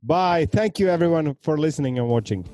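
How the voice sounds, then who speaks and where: A man's voice: on the high side, animated, fairly quick, music in the background. A Finnish man hosting a casual podcast over a video call, friendly and upbeat. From Finland. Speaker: podcast host. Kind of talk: casual chat.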